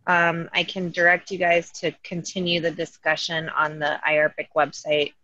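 A woman moderates an online meeting in an upbeat, directive way. Her voice is low-ish but very bright, and it comes through dry, dull call audio. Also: dry recording.

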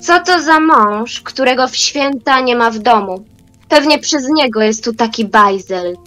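A woman's voice: on the high side, lively intonation, fairly bright tone, soft background music. A young woman playing a grumpy, spiteful old grandmother in an amateur radio play, complaining and indignant. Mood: snide. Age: young adult. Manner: querulous, indignant, drawn-out.

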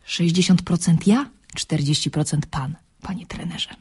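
Husky, cool young chess prodigy. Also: female voice, deep voice, a little slow, darkish tone, full character voice. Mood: coolly polite. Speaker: actor in a radio play. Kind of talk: radio drama acting.

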